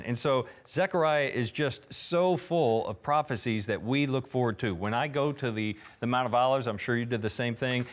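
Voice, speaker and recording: A man co-hosting a Bible prophecy TV program, speaking earnestly and affirming his partner. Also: medium pitch. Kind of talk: conversational Bible teaching.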